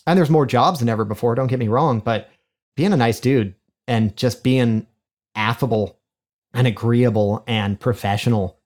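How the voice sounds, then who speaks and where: A man's voice: mid-range voice, normal speed, a little mellow. A man with a nasal, honky voice, talking as a podcast guest in a chatty, self-deprecating way, recorded on a studio condenser mic. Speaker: podcast guest, microphone designer. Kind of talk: casual interview chat. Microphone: studio condenser mic in figure-eight pattern.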